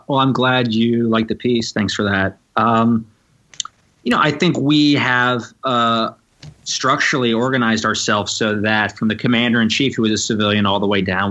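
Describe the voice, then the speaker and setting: Low-ish guy giving careful interview answers, lots of you know's, kinda dull lo-fi sound. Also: sharp tone. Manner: thoughtful, measured, many "you know" fillers. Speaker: podcast guest, novelist and former Marine.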